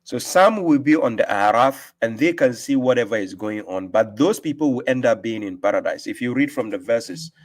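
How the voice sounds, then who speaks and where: A man hosting a live stream, reading verses aloud slowly and explaining them. He often adds a questioning "right?". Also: medium pitch, lively intonation. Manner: reads scripture aloud with slow emphasis and explains it; asks rhetorical "right?" often. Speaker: host and religious teacher.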